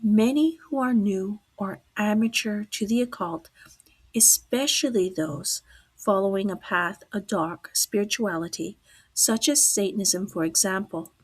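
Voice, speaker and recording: A woman hosting a podcast in slow, deliberate speech, hoarse from losing her voice and dropping to a whisper at times. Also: medium pitch, low-quality recording. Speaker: podcast host. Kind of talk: slow, deliberate talk on the occult.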